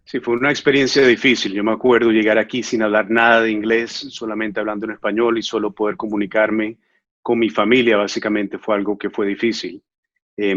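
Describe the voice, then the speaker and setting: A man from Venezuela in his thirties, an immigration lawyer answering interview questions in an earnest, measured way, with frequent filler sounds. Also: lowish voice, fairly bright tone, dry recording, lo-fi sound.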